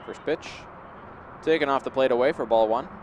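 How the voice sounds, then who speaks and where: A man's voice: medium pitch, moderate pace, darkish tone. Man calling baseball, noisy outdoor background. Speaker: play-by-play baseball commentator. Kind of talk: live sports commentary. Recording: clear outdoor background noise.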